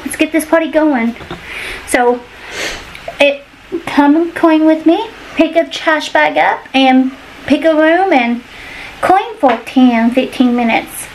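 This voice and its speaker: A woman's voice: high voice, takes it slowly. A woman in her thirties with a Southern US accent, chatting casually and folksily to the camera while she cleans at home. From United States. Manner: folksy, colloquial. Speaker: vlogger. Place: indoors in a cluttered home hallway. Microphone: camera mic, arm's length to a few steps away. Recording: distant microphone with noticeable room noise.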